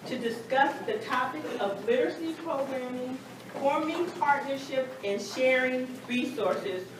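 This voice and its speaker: A woman moderating a conference panel, making formal, measured introductions. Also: high-pitched, noisy background. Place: large conference hall. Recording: echoey hall recorded from far off.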